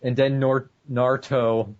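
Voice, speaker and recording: A man's voice: medium pitch, level tone, measured pace, highs cut off. A man hosting an amateur podcast in a casual, bantering way. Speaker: podcast host.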